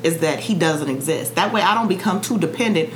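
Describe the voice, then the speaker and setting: A woman's voice: fairly low pitch, animated, a little fast, faint music behind. A woman guest talking frankly and candidly in a casual conversation. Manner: candid, frank, speaks plainly about her feelings.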